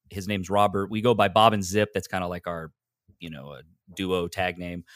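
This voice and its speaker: A man's voice: lowish voice, a bit bright, no echo, studio quality. A man chatting informally as a podcast guest, often stopping to correct himself mid-sentence. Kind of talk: casual interview chat.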